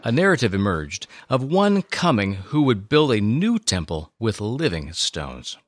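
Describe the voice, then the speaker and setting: A man's voice: lowish voice, big pitch swings, unhurried, no room sound. A man giving a solemn, theatrical scripted reading of a Bible story as narrator. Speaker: narrator and co-host.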